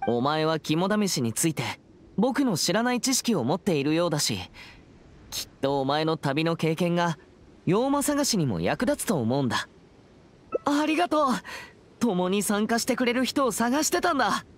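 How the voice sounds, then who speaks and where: A man's voice: sits high, sing-song, normal speed. A young man voicing a serious, earnest teenage boy exorcist in a Japanese anime game, with a formal, reserved and polite manner. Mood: earnest, eager. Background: background music.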